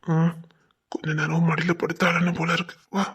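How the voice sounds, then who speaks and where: A man acting a devoted young lover, husky and pleading, in a romantic drama. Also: fairly high pitch, completely flat delivery, moderate pace, a bit bright, heavily acted. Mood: tender. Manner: tender, pleading, emotional. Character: devoted, tearful young village man in love.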